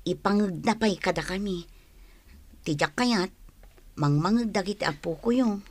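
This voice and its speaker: A woman's voice: medium pitch, moderate pace, natural voice. A woman playing an aggrieved wife and mother in a radio drama. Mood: hurt, resentful.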